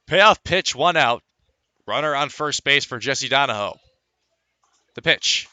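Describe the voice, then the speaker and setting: A man's voice: medium pitch, expressive, unhurried, pushed and bright, no room sound. A man calling live baseball play-by-play for radio, in clipped phrases with dramatic calls.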